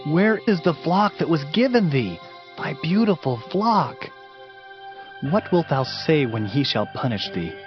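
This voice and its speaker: Impassioned lamenting prophet, highly animated pitch, solemn declamation, scripture drama, slightly dull recording. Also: male voice, a little slow. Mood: grave warning. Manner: solemn, declamatory, archaic phrasing. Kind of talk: dramatized Bible reading. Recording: dull audiobook sound with music underneath.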